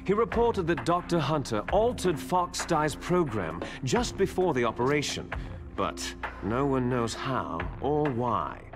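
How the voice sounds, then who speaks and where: An actor playing a bitter, taunting villain, the hero's twin soldier brother, in a theatrical, smug video game cutscene style. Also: male voice, medium pitch, very animated. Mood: coolly explaining. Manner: theatrical, smug, drawn-out menacing delivery. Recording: loud game music behind the voice.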